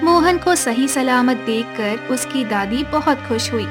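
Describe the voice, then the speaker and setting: A woman's voice: sits high, lively intonation, unhurried, music in the background. A woman reading scripted narration for a cartoon story, as a storyteller.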